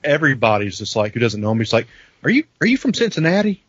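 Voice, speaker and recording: A man's voice: mid-range voice, sing-song, fast talker, fairly bright tone, slightly rough, dry recording. Dry, self-deprecating man from Kentucky. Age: 30s. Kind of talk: casual chat. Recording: dry dull lo-fi sound.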